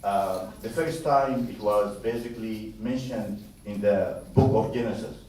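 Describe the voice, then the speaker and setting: Halting, lowish-voiced man in a very echoey hall. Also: mellow. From Sudan. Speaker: association president, opening speaker. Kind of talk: informal public speech. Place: event hall at an academy. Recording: very echoey hall, distant mic.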